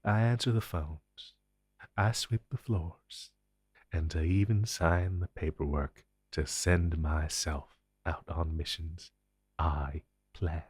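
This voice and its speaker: An ancient telepathic entity voiced by a man addresses the listener in an intense audio drama. The voice is deep and husky, captured close and dry. It speaks with theatrical deliberation, possessive and condescending, a menacing protector of humankind. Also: fairly even intonation, takes it slowly, a little mellow, no room sound, heavily acted. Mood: cold explaining.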